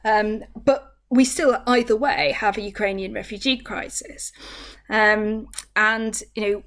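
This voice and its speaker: A woman co-hosting a podcast, speaking in a thoughtful, measured way with frequent hesitations. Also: medium pitch, steady tone, fairly bright tone. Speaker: podcast co-host. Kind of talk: casual podcast discussion.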